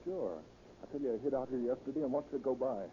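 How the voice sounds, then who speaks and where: An actor playing a brash, ruthless young escaped convict in a 1930s radio crime drama, curt and bossy with slangy talk. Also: male voice, medium pitch, fast talker, dark tone, lightly acted. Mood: commanding. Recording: dull, noisy old 1930s radio recording.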